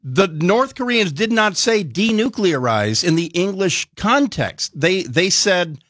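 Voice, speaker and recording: A man's voice: high-pitched, lively intonation, measured pace, fairly bright tone, no echo, studio quality. A man giving blunt, sardonic commentary as a guest analyst on a talk radio show, often restarting his phrases.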